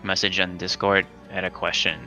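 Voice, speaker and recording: A man's voice: sits low, flat delivery, brisk, sharp tone, soft background music. A man playing a tabletop roleplaying game, chatting casually as he works out his moves.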